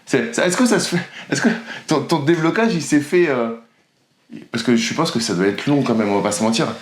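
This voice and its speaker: A man's voice: mid-range voice, fairly quick. Podcast host guy, mid voice, stumbles a lot asking stuff, echoey room. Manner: rambling, hesitant, stammers often. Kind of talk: casual podcast interview. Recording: roomy echo.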